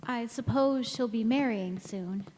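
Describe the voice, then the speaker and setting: A woman's voice: fairly high pitch, unhurried, a little mellow, natural voice. Woman acting a wistful, youthful-looking returning exile. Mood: yearning. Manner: earnest, wistful. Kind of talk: 1940s radio drama acting on stage. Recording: echoey hall with faint noise.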